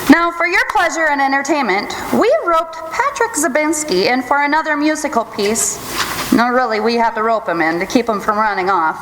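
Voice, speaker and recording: In a reverberant hall with clear background noise, a woman with a high voice hosts a live show. She delivers scripted jokes and introductions as a stage announcer. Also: animated, moderate pace. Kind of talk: scripted joke-telling and announcing. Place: theatre stage before an audience.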